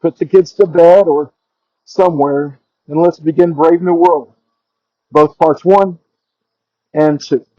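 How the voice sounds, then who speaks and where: A man with a high voice introduces a podcast and reads out announcements as its host. The recording is dry and slightly dull, with little room around him. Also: steady tone, slow, pauses often, warm tone, no echo.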